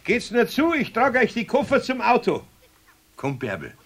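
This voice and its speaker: An actor playing a good-natured, grumbling older craftsman in a children's radio play, with a husky voice and a Bavarian accent. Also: extremely high pitch, wildly swooping pitch, moderate pace, fairly bright tone, a little hiss or hum, exaggerated voice. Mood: bustling, kindly.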